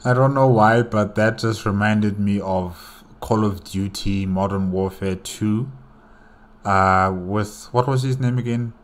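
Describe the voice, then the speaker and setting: Man, low pitch, leisurely pace, casual reaction commentary, hesitant, thinking aloud. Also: slow pace. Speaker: reaction video host.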